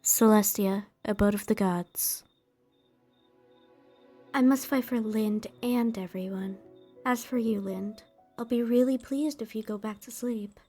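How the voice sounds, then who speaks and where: A woman playing a caring young warrior and elder sister in a fan dub, gentle, solemn and protective. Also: mid-range voice, a little slow, faint music behind, lightly acted. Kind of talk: fan dub character acting.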